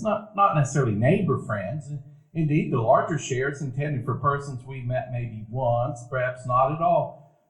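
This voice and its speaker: A man narrating a live stage radio play in a warm, reminiscing storytelling manner. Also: fairly high pitch. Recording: echoey room, live audience.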